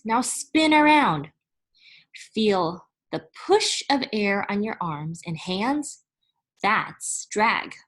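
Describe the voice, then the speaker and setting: A woman reads aloud from a children's book in the manner of a teacher. Her pace is slow and deliberate, and her pitch sits in the middle range. She sounds instructive, setting out each term carefully for young listeners. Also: fairly bright tone. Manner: deliberate, instructive, spelling out and explaining terms.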